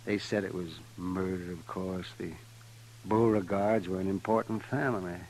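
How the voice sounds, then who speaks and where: Wry, weary frontier doctor, old radio. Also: male voice, sits low, steady tone, darkish tone. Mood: weary, confessional. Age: middle-aged. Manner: grumbling, musing, dramatic pauses. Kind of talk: old-time radio western drama acting. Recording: noisy vintage 1950s radio recording.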